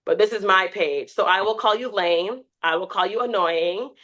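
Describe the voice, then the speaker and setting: A woman's voice: mid-range voice, normal speed. A woman in her twenties, a guest on a podcast, chatting candidly and acting out quotes as she tells stories. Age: 20s. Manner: candid, animated storytelling with quoted asides. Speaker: podcast guest, TikTok creator. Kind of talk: casual interview chat.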